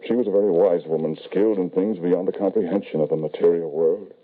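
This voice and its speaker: An actor playing an embittered, lonely, grieving father in an old radio drama, speaking formally and deliberately with dramatic pauses. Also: deep voice, fairly even intonation, phone-quality sound. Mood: brooding, sorrowful. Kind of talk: 1940s radio drama acting, first-person narration. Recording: band-limited vintage radio recording.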